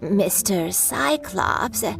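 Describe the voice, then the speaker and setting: Elderly granny, kindly game shopkeeper, unhurried, swooping intonation, music underneath. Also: female voice, medium pitch, expressive, vocal fry. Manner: gentle, unhurried, grandmotherly. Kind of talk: scripted video game voice acting.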